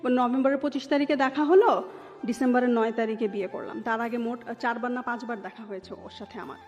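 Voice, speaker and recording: A woman's voice: on the high side, fairly even intonation, brisk, a little mellow. A woman with a Bangladeshi accent telling her own story conversationally as an interview guest, slipping English words into her speech. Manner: mixes English words into Bengali. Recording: dull sound, long reverb, background music.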